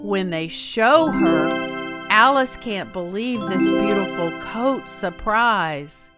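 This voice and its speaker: A woman reading a rhyming storybook aloud for children in an expressive, sing-song way. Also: mid-range voice, slow. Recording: music playing behind the voice. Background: clear background music.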